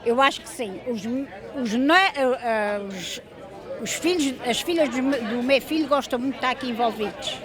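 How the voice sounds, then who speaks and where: A woman's voice: fairly high pitch, expressive, normal speed. An older woman from Portugal, a festival volunteer selling sweets, chatting in an interview and slipping in English words. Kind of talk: casual interview. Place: outdoor festival. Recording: outdoor crowd noise. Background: crowd chatter.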